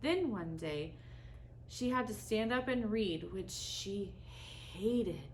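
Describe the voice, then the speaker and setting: A woman's voice: medium pitch, lively intonation. Woman reading a storybook, noisy recording. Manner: dramatic, with deliberate pauses and emphasis. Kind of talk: expressive read-aloud of a children's story.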